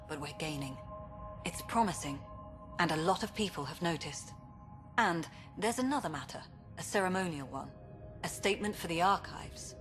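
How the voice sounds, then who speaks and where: An actress performing a woman director of a space colony in a game cutscene. Her voice sits a little low, with clipped, formal delivery and a dry, exacting temper. Music is clearly heard behind her. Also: close to an everyday voice. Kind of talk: video game cutscene acting.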